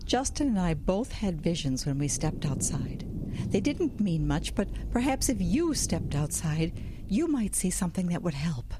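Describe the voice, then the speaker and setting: A woman's voice: on the low side, sing-song, normal speed, noisy background. An actress playing a shaken, guarded churchwoman in a horror audio drama, hesitant and haunted, with an urgent edge. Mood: anxious, hesitant. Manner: hesitant, faltering, breaking into urgent pleas. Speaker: radio drama actor.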